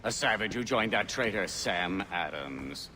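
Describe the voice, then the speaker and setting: A man's voice: low voice, expressive, a little slow, pushed and bright, a little hiss or hum, exaggerated voice. An actor playing a nervous elder statesman in a video game, hesitant and obsequious before his ruler. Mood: deferential. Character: nervous, deferential elder statesman under a tyrant. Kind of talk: video game cutscene acting.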